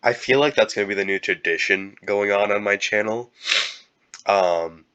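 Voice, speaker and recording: A young man with a cold, talking in a halting, casual way with many fillers and restarts. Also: lowish voice, sharp tone, smooth, highs cut off. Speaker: YouTuber. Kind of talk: casual year-end vlog.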